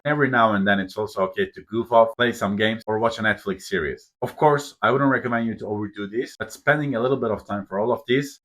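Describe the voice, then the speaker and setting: A man in his thirties with a husky, somewhat breathy voice, pitched a little low. He talks straight to camera in an earnest, emphatic way, setting out life advice point by point. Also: fairly bright tone. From Turkey. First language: Turkish. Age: 30s. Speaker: vlogger. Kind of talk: motivational talk to camera.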